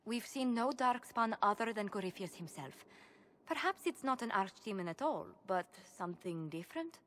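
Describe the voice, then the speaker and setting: A woman's voice: mid-range voice, lively intonation, moderate pace, a bit bright, lightly acted. A woman playing a composed, analytical adviser in a fantasy game, formal and articulate. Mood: thoughtful, concerned. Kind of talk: dramatic fantasy game dialogue.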